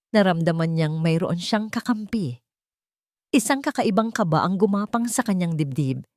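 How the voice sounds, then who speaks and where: A Filipino woman narrates a dramatic love story in a somewhat low voice. The recording is dry, with hardly any room sound. She delivers it as scripted storytelling. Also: a little mellow, no room sound.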